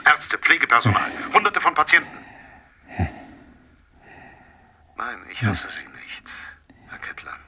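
An actor in a radio drama playing a vengeful husband, a coldly controlled and menacing caller who speaks with deliberate mockery. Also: male voice, mid-range voice, pushed and bright, slightly rough, full character voice. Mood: menacing calm.

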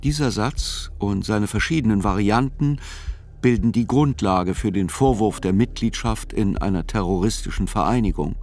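A man narrating a radio play as a court reporter, in measured, articulate literary reportage. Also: on the low side, darkish tone, close to an everyday voice. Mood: measured, factual. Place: studio. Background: music.

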